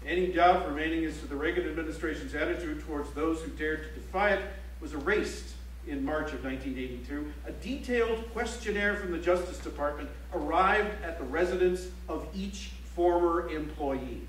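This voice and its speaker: A man playing a grave, pointed political chronicler, reciting formally on stage in a measured, emphatic way. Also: on the high side, close to an everyday voice. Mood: stern, formal. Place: library auditorium stage. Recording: echoey auditorium, distant mic, faint hum.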